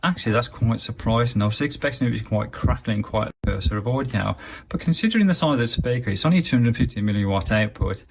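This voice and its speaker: A man's voice: medium pitch, animated, fast, creaky. A man giving a casual, chatty and matter-of-fact hands-on review of a gadget. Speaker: product reviewer.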